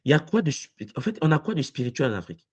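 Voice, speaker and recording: Animated man pressing questions. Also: on the high side, racing, husky. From Congo. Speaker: university lecturer. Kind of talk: debate-style discussion.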